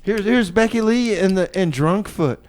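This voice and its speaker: A man's voice: high-pitched, lively intonation, unhurried, darkish tone. A man hosting a community radio show with casual, improvised chat and announcements, hesitant and folksy, often repeating his phrases.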